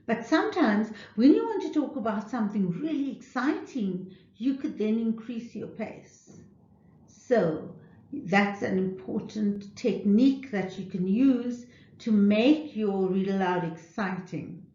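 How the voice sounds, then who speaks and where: Female presenter, unhurried teaching delivery with careful diction, mid pitch, roomy muffled sound. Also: animated, warm tone. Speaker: literacy programme presenter. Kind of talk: instructional presentation with tips. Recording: roomy, echoing, dull lo-fi audio.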